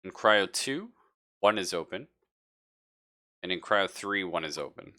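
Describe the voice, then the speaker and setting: A man narrating a horror tabletop game as game master, improvising in a hesitant, halting way. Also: sits low, lively intonation, pushed and bright.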